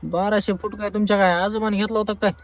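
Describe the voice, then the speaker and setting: Comic phone skit: man acting an aggrieved rural Marathi farmer, high voice, exasperated, mocking and sarcastic. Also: little pitch movement, fast talker. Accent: Marathi, rural Maharashtra. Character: aggrieved, sarcastic male farmer demanding refund. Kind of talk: staged comic phone-call argument.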